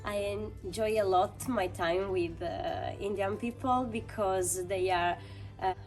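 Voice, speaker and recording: A woman giving a short video testimonial, speaking slowly with pauses. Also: mid-range voice, faint music behind, low-quality recording. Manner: slow with pauses. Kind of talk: casual testimonial.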